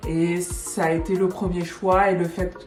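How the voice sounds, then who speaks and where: A French woman, director of an immigration agency, explaining things in a relaxed casual interview with many fillers. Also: on the low side, normal speed. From France. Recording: echoey room with music behind.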